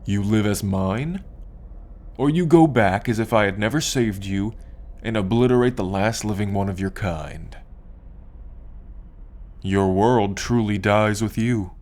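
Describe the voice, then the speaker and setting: A man playing a smug, possessive alien captor in an ASMR roleplay, speaking in a condescending, taunting and menacing drawn-out way. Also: fairly low pitch, a little mellow, close to an everyday voice. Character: smug, possessive male alien abductor and collector. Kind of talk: ASMR roleplay, slow dramatic monologue.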